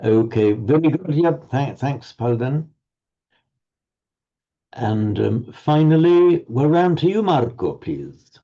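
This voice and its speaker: Halting, encouraging man teaching online. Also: medium pitch, sing-song, dark tone, muffled recording. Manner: halting, restarts words, encouraging. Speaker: Sanskrit teacher. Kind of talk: online group lesson.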